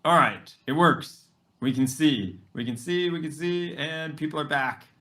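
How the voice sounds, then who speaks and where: Slow-talking conference host. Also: mid-range voice, fairly bright tone. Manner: apologetic, hesitant. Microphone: phone.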